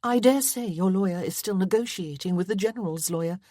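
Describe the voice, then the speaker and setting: A woman voicing an earnest, gentle young lady in a storybook reading, gently questioning. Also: medium pitch, fairly quick, no room sound, studio quality, voice pushed up, close to an everyday voice.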